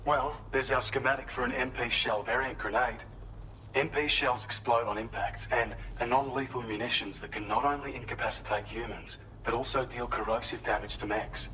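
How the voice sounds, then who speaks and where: A man acts an earnest, helpful facility researcher in a video game. His voice is bright and slightly low, and he explains things in a polite, measured way over faint ambient noise. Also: fairly even intonation, lightly acted, poor audio. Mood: earnest, businesslike. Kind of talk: scripted game briefing.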